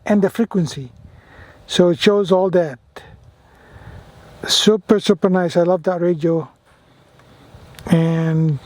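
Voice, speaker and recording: High-pitched man, enthusiastic radio hobbyist vlogging. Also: a little mellow, no echo. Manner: enthusiastic, repeats phrases. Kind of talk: casual product demo and radio operating.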